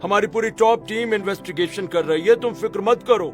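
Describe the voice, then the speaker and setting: A man playing a senior politician in a cartoon, calming and paternal in his manner. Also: high voice, brisk, soft background music, pitched above the performer's own voice, natural voice. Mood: placating. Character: placating senior politician, a chief minister.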